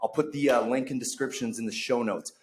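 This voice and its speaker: A man's voice: medium pitch, a little fast, a little mellow. A man hosting a podcast in casual chat, rambling with many fillers and restarts. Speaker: podcast host. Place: bar under construction. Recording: echoey room.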